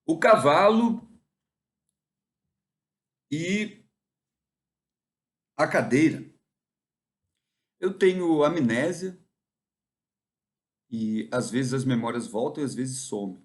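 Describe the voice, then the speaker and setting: A Brazilian man thinking out loud in a loose, wandering monologue. He muses, stops mid-thought and picks the thread up again, at an ordinary pitch for a man. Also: animated. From interior of São Paulo, Brazil. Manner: meandering, musing, often pausing mid-thought. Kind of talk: rambling reflective monologue.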